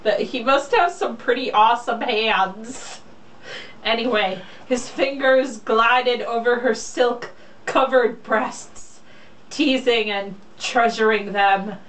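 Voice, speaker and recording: A woman's voice: fairly high pitch, takes it slowly, fairly bright tone. A young woman reading a romance novel aloud casually, in a mocking, irreverent way. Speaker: reader. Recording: echoey room, distant mic, faint noise.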